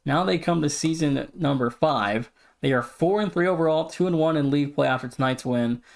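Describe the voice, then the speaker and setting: A man's voice: on the high side, fairly quick, creaky. A man co-hosting a sports podcast, casually running through standings and team updates. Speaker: podcast co-host.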